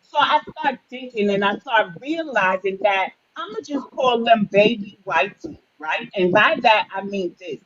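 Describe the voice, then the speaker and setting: A woman's voice: medium pitch, animated, a little slow, hardly any pauses, a bit bright. A woman firmly laying out an argument in a live-stream debate. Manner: firm, lays out an argument. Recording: dull lo-fi sound.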